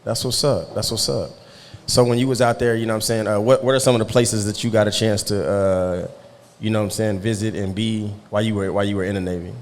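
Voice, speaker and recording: Male podcast host, low voice, relaxed slangy interviewer, echoey room. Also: fast. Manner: laid-back, slangy, keeps asking "you know what I'm saying". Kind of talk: casual interview chat.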